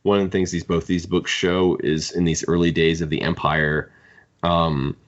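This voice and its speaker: A man hosting a podcast, casually discussing books in a halting, opinionated fan's way. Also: deep voice, little pitch movement. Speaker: podcast host. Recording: dull lo-fi podcast audio.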